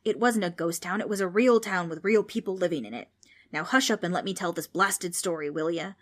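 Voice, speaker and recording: A woman's voice: fairly low pitch, a little fast, a bit bright. A woman voicing a cranky old male ghost who scolds, grumbles and loses his train of thought in a children's audiobook. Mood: exasperated. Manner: grumbling, exasperated, old-fashioned insults and rambling.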